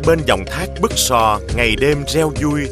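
A man's voice: medium pitch, unhurried. Male legend narrator over music.

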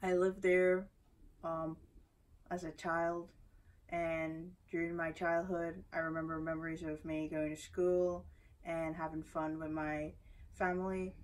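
A young woman with a Guyanese accent telling her life story to camera, soft-spoken and hesitant, with many fillers, recorded indoors from a few feet away. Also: low-pitched, little pitch movement, unhurried, recorded from a distance. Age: young adult. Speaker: athlete introducing herself. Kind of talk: personal story told to camera. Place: small indoor room before a banner.

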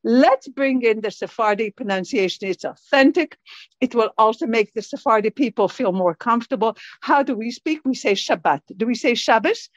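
Woman, middle-aged, grew up in Israel, fairly high voice, teaching a group, asks lots of questions, dry dull recording. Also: animated, normal speed, dry recording. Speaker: teacher leading a study group. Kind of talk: informal lecture reading from a book. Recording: dull muffled sound, dry close mic.

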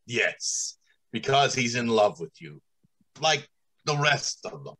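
An actor playing a stern, flustered factory director in old-time radio drama style, with halting, dramatic delivery. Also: male voice, mid-range voice, very animated, bright, forceful tone, heavily acted, poor audio. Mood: stern, bitter. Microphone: video call.

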